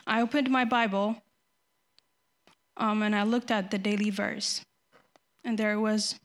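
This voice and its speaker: A young woman from Ethiopia giving a nervous, halting personal faith testimony before her baptism, often restarting her sentences. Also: mid-range voice, fairly even intonation. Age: young adult.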